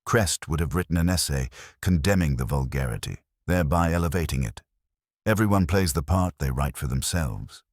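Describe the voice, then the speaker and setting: Man voicing a deep, smug, pretentious artist. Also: moderate pace, no echo, studio quality. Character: smug, pretentious male artist defending his schemes.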